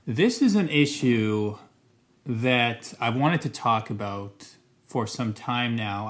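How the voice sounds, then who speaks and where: A Canadian man with a middle-range voice talks casually to camera as a vlogger. He is thoughtful and careful, hedging often and restarting his sentences. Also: mid-range voice. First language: English. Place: Montreal.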